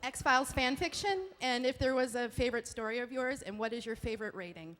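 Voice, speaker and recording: A woman's voice: fairly high pitch, a little fast, a bit bright. A woman fan asking a question from the audience, nervous and hesitant. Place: audience aisle of a convention hall. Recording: reverberant hall with faint noise.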